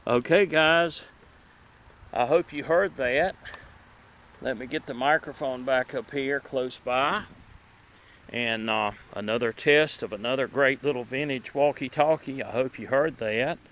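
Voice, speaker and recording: Middle-aged man, halting folksy outdoor vlogger. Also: medium pitch, a bit bright. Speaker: video host showing vintage gadgets. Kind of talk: casual product showcase vlog. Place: outdoors at a table in a wooded yard.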